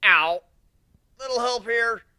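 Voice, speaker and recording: A man voicing a brash, cocky young griffin captain in a dramatic story reading, with a sarcastic, excitable manner. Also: very high-pitched, slow pace, smooth, no room sound, clean studio sound, pitched above the performer's own voice, heavily acted. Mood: startled, exasperated.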